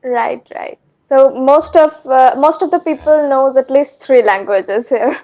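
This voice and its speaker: Woman chatting eagerly, high voice, kind of muffled lo-fi audio. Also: measured pace, dark tone. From India. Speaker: English learner. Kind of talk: casual conversation practice.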